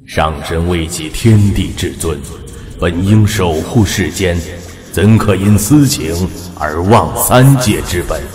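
An aged immortal tower guardian for a fantasy audio drama. He speaks slowly and gravely in a very deep voice, calm and admonishing. Long cavernous reverb surrounds him. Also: crowd noise. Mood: reproachful. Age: older. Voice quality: aged, weighty voice. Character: ancient male immortal guardian of a tower, calm, solemn elder. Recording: cavernous reverberant hall effect.

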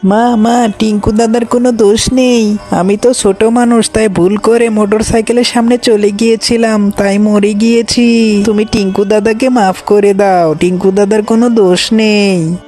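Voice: mid-range voice, level tone, normal speed, a little mellow, soft background music, voice pushed up, close to an everyday voice. A man voicing an innocent little witch girl's ghost in a cartoon, pleading in a childlike way.

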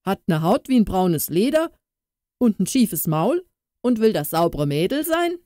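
A woman voicing a vain, gruff male cook in a fairy tale, scolding and indignant. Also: mid-range voice, sing-song, measured pace, dark tone, no echo, studio quality. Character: gruff vain royal cook, middle-aged man.

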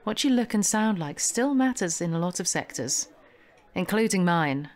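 A woman's voice: lowish voice, animated, moderate pace, natural voice. An actress playing a polished, evasive young professional woman in a naturalistic audio drama, poised and faintly condescending. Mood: smoothly confident.

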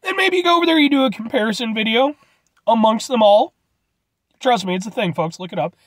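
Animated stuffy-nosed man in a car. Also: extremely high pitch, brisk, dry recording, clean studio sound. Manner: rambling, animated, gestures a lot. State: stuffed up from a cold. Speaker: food reviewer. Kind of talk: casual food review vlog. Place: parked car, indoors. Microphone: phone camera at arm's length.

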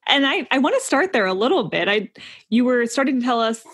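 A woman's voice: fairly high pitch, very animated, brisk, fairly bright tone, no echo. A woman co-hosting a podcast, asking encouraging questions in casual chat. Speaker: podcast co-host.

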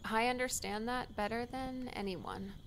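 A woman voicing an anxious, earnest young woman in an audiobook, hesitant, soft-spoken and sincere. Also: on the high side, fairly even intonation, measured pace, a little hiss or hum. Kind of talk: audiobook character dialogue.

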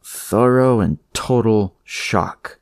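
A clinical male doctor, voiced by a story narrator, calm and matter-of-fact. He sounds thoughtful and authoritative, close and dry, as in a horror audiobook. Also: medium pitch, drawn-out words, no room sound. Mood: grave, musing.

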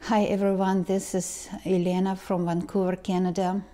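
A woman, talking slowly to camera as a tarot reader, pausing and restarting often. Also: lowish voice, little pitch movement, mellow. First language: Ukrainian or Russian. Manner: slow, halting, many restarts and ums. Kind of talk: casual vlog talk to camera. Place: living room indoors. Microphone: lapel mic.

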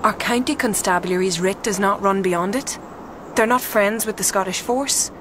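A woman's voice: medium pitch, normal speed, lightly acted. Bold teasing young lady played by a woman, noisy. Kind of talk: radio drama acting.

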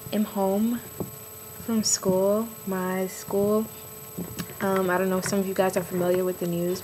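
A woman's voice: fairly low pitch, noisy background. A young woman chatting casually to her webcam at home, with many ums and likes. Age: young adult. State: slightly hoarse. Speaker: vlogger. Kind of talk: casual vlog chat. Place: bedroom at home, indoors. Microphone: webcam built-in mic, close.

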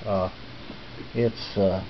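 A man giving a casual, unscripted tour as a hobbyist host, rambling and halting, recorded on a handheld camera in a small home room. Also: low-pitched, fairly even intonation. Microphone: handheld camera's built-in mic. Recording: muffled camcorder audio with background hiss.

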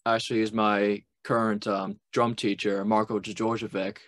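A man, hosting a casual interview in an informal, halting way. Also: lowish voice, level tone, a bit bright, no echo. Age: 20s. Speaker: webcast host, interviewer. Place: plain indoor room. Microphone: video call.

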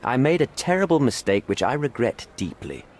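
An actor playing a middle-aged envoy in a video game, formal, evasive and smooth-talking, with a placating, pleading manner. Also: male voice, mid-range voice, big pitch swings, normal speed, darkish tone, natural voice. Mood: evasive, regretful. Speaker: British envoy. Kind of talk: video game cutscene acting.

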